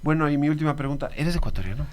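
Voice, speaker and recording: A man's voice: on the high side, rapid-fire, darkish tone. Ecuadorian radio host guy, pushy and teasing, slangy questions. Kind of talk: interview, casual chat.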